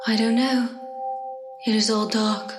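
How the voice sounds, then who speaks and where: An actress in an audio drama playing an entranced woman, speaking in a hushed, trance-like way. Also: on the high side, flat delivery, over loud music, close to an everyday voice. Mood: entranced, eerie. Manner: slow, hushed, trance-like delivery.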